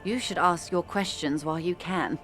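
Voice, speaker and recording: An actress playing a wealthy, cultured negotiator in a video game, wry, composed and condescending, with refined, dryly ironic delivery. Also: female voice, fairly low pitch, normal speed, fairly bright tone, faint music behind, close to an everyday voice. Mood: wry disdain. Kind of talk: video game dialogue acting.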